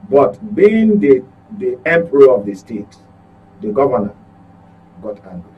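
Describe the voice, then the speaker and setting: A man's voice: mid-range voice, big pitch swings, measured pace, distant mic, phone-quality sound. A man with a Nigerian accent giving political commentary, often repeating and correcting his words as he goes. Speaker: current affairs commentator.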